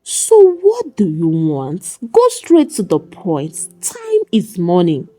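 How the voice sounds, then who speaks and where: A woman's voice: on the low side, huge pitch swings, takes it slowly, warm tone, exaggerated voice. A woman voicing a proud, sassy young seamstress in a folk tale, blunt and mocking. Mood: teasing.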